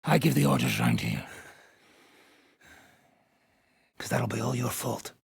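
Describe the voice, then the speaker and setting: An actor playing a foul-mouthed, swaggering mercenary gang leader in a video game, brash and sardonic, with a raspy, gravelly voice. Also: male voice, medium pitch, wildly swooping pitch, a little fast, no echo, clean studio sound, exaggerated voice. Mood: menacing, commanding. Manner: brash, sardonic, commanding, swearing freely. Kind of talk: game dialogue, coarse medieval banter and battle shouts.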